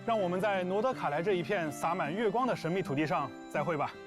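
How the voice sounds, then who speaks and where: A man's voice: high-pitched, animated, fast. A man speaking as a game developer, giving a prepared presentation to players. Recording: music playing behind the voice. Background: plucked guitar music.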